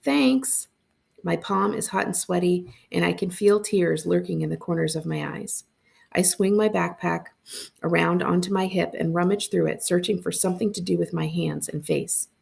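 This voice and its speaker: A woman narrates a first-person storybook aloud. Her pitch is on the low side, with very heavy creak. The reading is expressive, in a librarian's read-aloud style. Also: normal speed.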